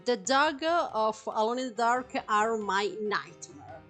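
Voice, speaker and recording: A woman's voice: a little high, big pitch swings, takes it slowly, fairly bright tone, faint music behind. A woman with an Italian accent whose first language is Italian, commenting casually on a game stream, hesitant and full of fillers and giggles.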